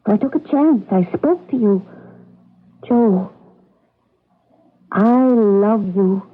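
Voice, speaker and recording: A woman's voice: mid-range voice, drawn-out words. An actress playing a desperate, yearning young woman in a radio drama, pleading and earnest. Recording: narrowband 1980s radio broadcast.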